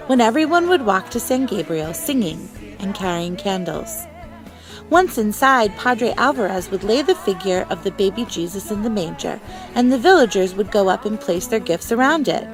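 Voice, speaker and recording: A woman reading a children's storybook aloud as a teacher, with expressive, deliberate phrasing. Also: medium pitch, poor audio.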